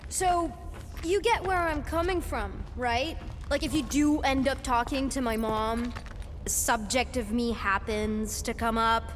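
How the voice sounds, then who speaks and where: A woman's voice: on the high side, unhurried, in a large hall, a little hiss or hum, natural voice. An actress playing a sarcastic, secretive young teenage girl in a video game, with an American accent and a casual, sardonic manner. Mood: nervous, pleading. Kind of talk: naturalistic video game voice acting.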